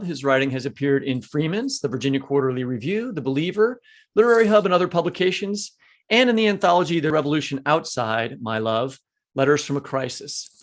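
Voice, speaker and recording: A man co-hosting a literary podcast, chatting casually and reading out award nominees with small asides. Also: on the high side. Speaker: podcast co-host. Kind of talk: podcast conversation, reading lists.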